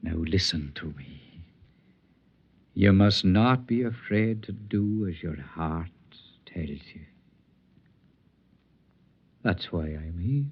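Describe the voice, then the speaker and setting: An actor playing a whimsical, lonely tramp in an old-time radio drama, speaking in a florid, lilting, poetic way. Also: male voice, sits low, takes it slowly, long pauses, breathy edge, exaggerated voice. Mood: earnest, soothing. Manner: lilting, florid, theatrical speech. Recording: dull vintage radio recording.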